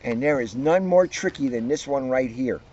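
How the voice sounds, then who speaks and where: A man, a police officer, reading a children's book aloud with expressive, drawn-out emphasis and casual asides. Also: medium pitch, a little hiss or hum. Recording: dull, muffled lo-fi sound.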